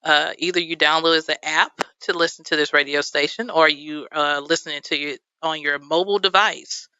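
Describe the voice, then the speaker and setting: Texan woman guest, radio interview, bright clear voice, chatty with fillers, dry and slightly dull studio sound. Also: low-pitched, fairly even intonation, normal speed, dry recording. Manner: chatty, many fillers, laughs easily. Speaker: videographer guest.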